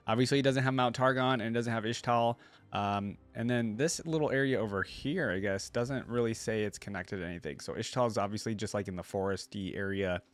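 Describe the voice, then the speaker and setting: A man's voice: lowish voice, brisk. A man hosting a reaction video, talking casually in a chatty, rambling and informal way. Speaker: host of a game lore reaction channel. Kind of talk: casual reaction commentary to camera.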